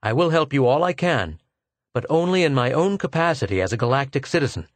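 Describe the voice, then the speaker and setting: A man's voice: medium pitch, expressive, a little fast, no room sound, pitched above the performer's own voice, close to an everyday voice. A man voicing a frank male archaeologist who speaks bluntly and gets exasperated easily.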